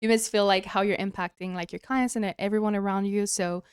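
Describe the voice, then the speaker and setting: A woman's voice: medium pitch, steady tone, brisk, clear, resonant tone. A woman hosting a podcast, moving between scripted intro and casual interview chat. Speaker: podcast host.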